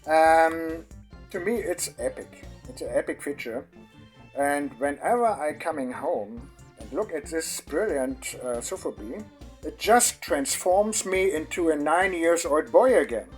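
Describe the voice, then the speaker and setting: A man's voice: on the high side, animated, faint music behind. Effusive man. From Germany. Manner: enthusiastic, effusive, halting non-native English. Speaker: toy collector. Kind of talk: casual video testimonial.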